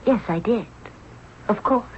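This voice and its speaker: An actress playing a strong-willed, frank young princess in an old-time radio drama. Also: female voice, medium pitch, unhurried, loud music behind the voice, close to an everyday voice. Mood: anxious, determined. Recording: muffled radio recording with clear noise.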